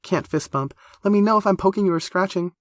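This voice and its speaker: A woman's voice: medium pitch, fast, no room sound, studio quality, voice pushed up, close to an everyday voice. A man reading a story, voicing a bubbly, nervous young woman who gushes and trips over her words. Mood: excited, flustered. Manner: gushing, flustered, loud.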